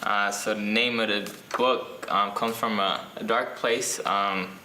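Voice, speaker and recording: A young man from Ethiopia, an author answering interview questions casually, pausing often with ums and restarts. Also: fairly low pitch, steady tone, sharp tone, echoey room, a little hiss or hum. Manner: hesitant, many ums and restarts.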